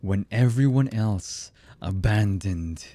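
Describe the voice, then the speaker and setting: A voice actor playing a cold, embittered villain man in an ASMR roleplay, husky and menacing, with halting delivery that often drops to a murmur. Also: mellow, dry recording. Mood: bitter, agitated. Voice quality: husky, low.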